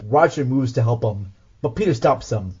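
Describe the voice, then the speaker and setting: A man's voice: medium pitch, animated, normal speed, dull audio, background noise. A man reading scripted audio drama narration as the host.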